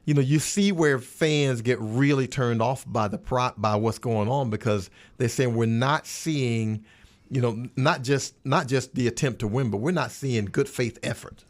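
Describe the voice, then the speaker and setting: A man's voice: mid-range voice, a little slow. A man in a casual sports roundtable, conversational and repeating phrases for emphasis. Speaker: sports radio commentator.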